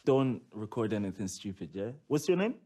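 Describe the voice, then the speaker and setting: A man's voice: lowish voice, very animated, a little fast, warm tone. A man playing a charming, self-deprecating racing driver, hesitant and joking in a naturalistic game interview. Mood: nervous, friendly.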